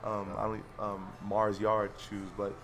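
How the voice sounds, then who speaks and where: A young man in his twenties hosts a casual podcast. His voice carries a steady gravelly fry, and he rambles through his stories with plenty of "like" and "um". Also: on the low side, a little slow. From Florida, US. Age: 20s. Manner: loose, rambling storytelling full of fillers. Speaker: podcast host.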